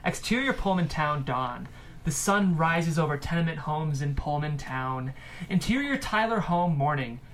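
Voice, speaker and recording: A woman's voice: low-pitched, lively intonation, measured pace, a little hiss or hum. A woman giving scripted narration, reading out the stage directions of a screenplay.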